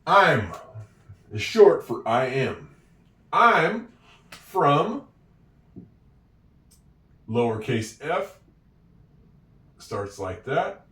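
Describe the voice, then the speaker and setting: A man's voice: fairly high pitch, big pitch swings, extremely slow, pauses often, bright, forceful tone, distant mic. A man from Oregon tutoring a child in handwriting, chatty and encouraging as he talks straight to the viewer. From Oregon, USA. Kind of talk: slow, patient writing lesson. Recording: echoey room, voice away from the mic.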